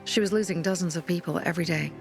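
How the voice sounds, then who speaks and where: An actress playing a resolute, hardened young survivor leader in a video game, earnest and measured. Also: female voice, fairly low pitch, vocal fry, music in the background. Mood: somber recollection. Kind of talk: naturalistic video game acting.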